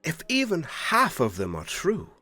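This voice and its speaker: A man playing a slow, imperious older king. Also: a little high, huge pitch swings, bright, forceful tone, no echo, heavily acted. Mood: scornful. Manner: formal, weighty, deliberate delivery with dramatic emphasis. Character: stern, imperious older king lecturing his daughter. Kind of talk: audio roleplay drama acting.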